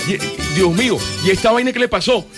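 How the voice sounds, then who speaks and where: A man with a Colombian accent, hosting a casual live radio show in an excitable, exclamatory way. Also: high-pitched, quick pace, over music. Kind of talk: casual live radio banter with prank-style birthday calls.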